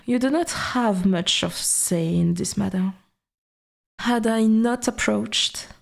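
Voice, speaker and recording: An ancient female forest spirit voiced by a woman speaks in a dark, mellow voice with a gravelly creak. Her delivery is unhurried and formal, haughty and possessive, as suits a dominant god in an ASMR audio roleplay. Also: medium pitch. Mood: possessive, commanding. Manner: slow, formal, archaic, condescending speech.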